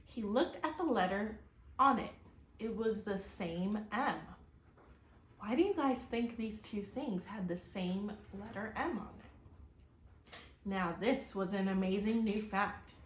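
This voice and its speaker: A woman reading a children's story aloud like a teacher, expressively, pausing to address her young listeners with questions. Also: medium pitch. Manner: expressive, acts out exclamations and gasps. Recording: roomy echo, faint background noise.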